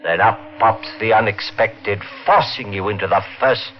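An actor playing a scheming, menacing murderer who narrates and acts in a 1940s radio mystery, terse and calculating. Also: male voice, lowish voice, normal speed, soft background music, natural voice. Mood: brooding, hard-boiled. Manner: hard-boiled, calculating, terse. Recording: muffled old-time radio recording.

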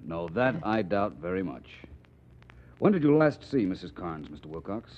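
An actor playing a suave, politely probing private detective, precise and dryly pointed, in 1940s radio style. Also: male voice, sits low, sing-song, moderate pace. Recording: muffled old 1940s radio recording.

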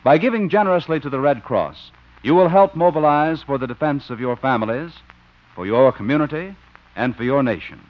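A man's voice: fairly high pitch, a little fast. An American man announcing on 1950s network radio in a formal, polished, emphatic scripted style. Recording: dull vintage radio broadcast recording.

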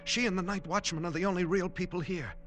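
An actor playing an earnest, sensitive poet in a 1940s radio drama, speaking with literary, dramatic intensity. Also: male voice, sits high, steady tone, fast talker, fairly bright tone. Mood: fearful. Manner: literary, dramatic diary narration and polite dialogue. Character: earnest, sensitive young poet, trapped and smitten. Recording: vintage radio drama with music bed.

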